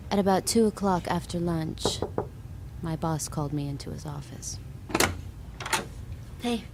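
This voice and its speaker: A woman's voice: deep voice, a little slow, vocal fry, noisy background. A woman voicing an ordinary woman who asks puzzled questions in plain conversational speech. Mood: questioning. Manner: conversational, increasingly bewildered. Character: puzzled young woman shopper and office worker. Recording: crowd murmur behind.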